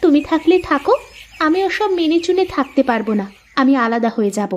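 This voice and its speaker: Female actor as young wife, very high pitch, resentful, complaining, cartoon dub, dull sound. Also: expressive, brisk, a little mellow, slight background noise. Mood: defiant. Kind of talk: Bengali moral cartoon dubbing.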